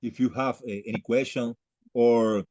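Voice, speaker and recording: A man who speaks English as a second language, lecturing on a video call in a halting way, searching for words and repeating phrases for emphasis. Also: medium pitch, fairly even intonation, a little mellow, dull audio. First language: not English. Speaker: lecturer leading an online class.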